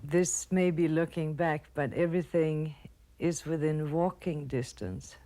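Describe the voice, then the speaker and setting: Woman, super deep dark voice, halting answers. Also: fairly even intonation. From Sweden. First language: Swedish. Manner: halting, with pauses. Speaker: interview guest.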